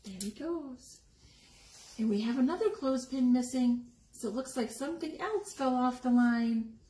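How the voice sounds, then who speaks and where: A woman reading a picture book aloud to young children, expressively, pausing to explain the pictures and ask questions. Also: sits high, mellow. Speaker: story time reader. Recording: echoey room with background noise.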